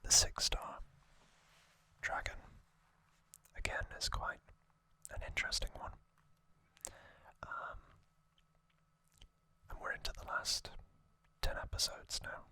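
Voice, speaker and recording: A man hosting ASMR, explaining trivia informally in a soft, unhurried, breathy whisper. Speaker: ASMR video host.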